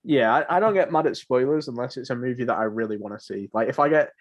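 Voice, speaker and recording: A man talks in a relaxed conversational format, as on a podcast. His pitch is average, and his delivery is informal and opinionated. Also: fast. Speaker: podcast host. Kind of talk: casual film podcast chat.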